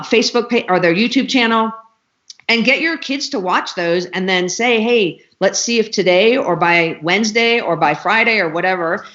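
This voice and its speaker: A woman's voice: medium pitch, fairly bright tone. A middle-aged woman, a dog trainer speaking as a panel guest, giving conversational, practical advice point by point over a dull online call.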